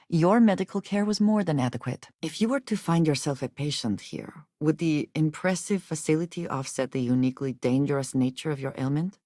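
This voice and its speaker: An actress playing a guarded, wary woman companion in a space video game, with formal, measured phrasing and a dry manner. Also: sits low, darkish tone, no room sound, exaggerated voice. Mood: earnest, reserved.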